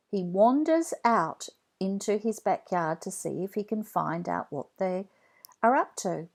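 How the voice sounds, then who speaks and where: A woman storyteller reads aloud for children. Her delivery is slow and deliberate, with a very animated melody that shapes each sentence of the read-along. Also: mid-range voice.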